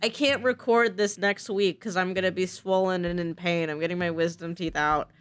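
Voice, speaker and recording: An American woman talking casually and candidly, unscripted, in an informal and halting way, like a creator speaking to her audience. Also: on the low side, steady tone, clear, resonant tone. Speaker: video creator and mod developer. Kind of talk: casual, unscripted talk to camera.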